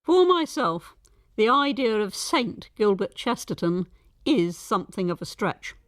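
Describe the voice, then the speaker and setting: A woman presenting a scripted documentary in a measured, articulate way. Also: mid-range voice, sing-song, no echo, clean studio sound.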